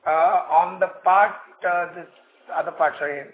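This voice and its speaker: Man, slightly high voice, narrow telephone sound, unscripted executive answers, self-posed questions. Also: fairly even intonation, moderate pace. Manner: asks and answers own questions. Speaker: bank chief executive answering analysts. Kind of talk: earnings call answers, unscripted. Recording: muffled phone conference line, noisy.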